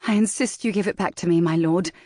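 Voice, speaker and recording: A woman's voice: fairly low pitch, fast talker, dark tone, no room sound, studio quality, close to an everyday voice. A woman voicing a proper, indignant young Regency lady who speaks primly. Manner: prim and indignant.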